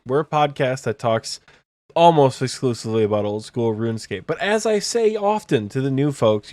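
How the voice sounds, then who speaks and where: A man's voice: medium pitch, no room sound. A man in his twenties co-hosting a podcast, tired and joking in casual chat. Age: 20s. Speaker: podcast co-host.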